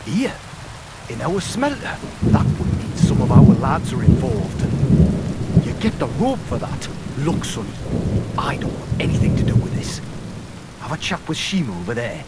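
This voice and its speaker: An actor playing a plain-spoken medieval smelter laborer with rough dialect talk, in video game dialogue. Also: male voice, mid-range voice, big pitch swings, a little slow, pauses often, very noisy. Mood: guarded. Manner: dialect-heavy working-man talk, evasive. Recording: game audio with loud rain.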